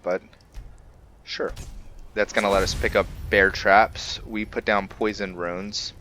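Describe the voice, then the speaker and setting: A male gamer with a deep voice talks through his roleplay playthrough. He takes his time, musing hesitantly to himself, with faint game ambience behind him. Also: a bit bright, a little hiss or hum. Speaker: gaming video host and player. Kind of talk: casual let's-play roleplay commentary.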